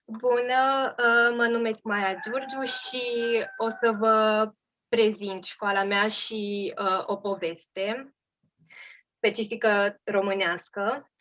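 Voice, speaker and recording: Slow, hesitant woman. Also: medium pitch, fairly even intonation, a bit bright. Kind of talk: hesitant self-introduction. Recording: dull lo-fi audio.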